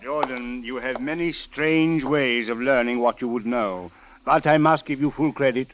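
A man acting a solemn Egyptian police officer in vintage radio drama. He is courteous, formal and grave, at an average pitch. The sound is muffled and old. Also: normal speed, a bit bright, no echo, faint music behind, lightly acted.

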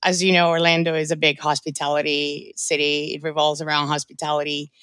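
Female startup founder from Colombia, measured and friendly, lowish pitch, dry sound. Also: flat delivery, a bit bright, clear, resonant tone, no echo. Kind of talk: podcast interview.

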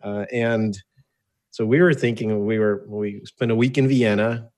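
A man's voice: lowish voice, measured pace, darkish tone. A man in his fifties with an American accent, telling his life story conversationally as an interview guest, with wry, jokey asides. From born in Soviet Russia, grew up in the United States from age eight. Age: 50s. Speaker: interview guest, entrepreneur and author. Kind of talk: casual autobiographical storytelling in an interview.

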